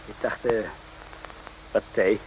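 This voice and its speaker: A man's voice: fairly low pitch, fairly even intonation, slow pace, a little mellow, highs cut off. A man playing a smiling, obliging orderly in a radio play, hesitant and ingratiating in manner. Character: smiling, obliging male orderly serving tea, falsely modest. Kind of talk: radio drama acting. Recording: noisy old radio play recording.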